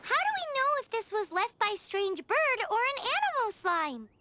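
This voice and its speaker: A woman's voice: extremely high pitch, big pitch swings, normal speed, very noisy. A woman voicing a tiny floating fairy companion girl who talks about herself in the third person, in video game dialogue. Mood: chirpy curious.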